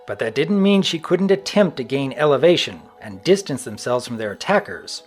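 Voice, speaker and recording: Animated male story narrator over music. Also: medium pitch, normal speed. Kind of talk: dramatic scripted story narration.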